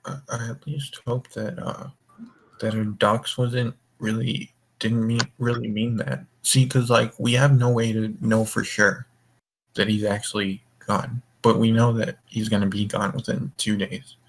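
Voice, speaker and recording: A man's voice: lowish voice, flat delivery, unhurried, poor audio. A drunk young man hosting a casual group chat, rambling and swearing as he goes. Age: young adult. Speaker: voice call host.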